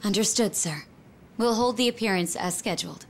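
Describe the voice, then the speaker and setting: An actress playing a dutiful political aide in a video game, speaking in a formal, businesslike way. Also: female voice, mid-range voice, fairly quick, a little hiss or hum, lightly acted. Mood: polite, businesslike. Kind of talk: English video game voice acting.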